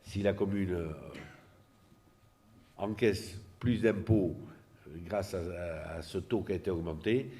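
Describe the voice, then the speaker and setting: Low-voiced man, halting explanatory talk. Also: mellow. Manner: halting, searching for words, repeats words. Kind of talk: explanatory political presentation, unscripted.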